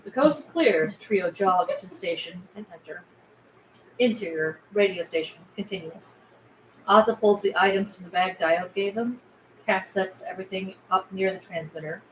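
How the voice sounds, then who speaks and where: A woman's voice: medium pitch, normal speed. A woman reading stage directions aloud as scripted narration at a table read. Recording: echoey room, faint background noise.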